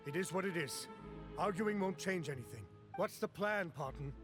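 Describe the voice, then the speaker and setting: An actor playing an exasperated, practical criminal peacemaker in a video game, firm and matter-of-fact. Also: male voice, high-pitched, expressive, moderate pace, over music. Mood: placating.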